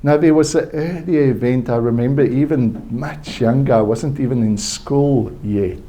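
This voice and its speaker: A man from South Africa, native Afrikaans speaker with a South African accent, telling a personal story at a conference in a halting, reflective way. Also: medium pitch, warm tone. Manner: slow, halting, reflective with frequent restarts. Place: conference hall.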